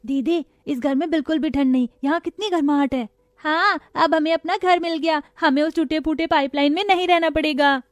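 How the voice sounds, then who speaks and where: A woman's voice: high-pitched, fairly quick, no room sound, close to an everyday voice. A woman voicing poor, earnest young orphan sisters in a melodramatic moral-story cartoon.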